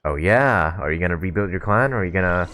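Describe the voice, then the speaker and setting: A man's voice: sits low, lively intonation, quick pace, sharp tone. A man giving casual, slangy let's-play commentary as a gaming YouTuber, with joking reactions. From Canada.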